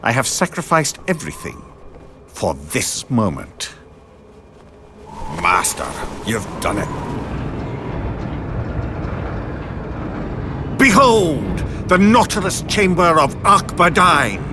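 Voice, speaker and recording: An actor playing an obsessive, bitter male villain in an animated game cutscene, theatrical and grandiose. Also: on the high side, slow, noisy background. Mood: grandiose, reverent.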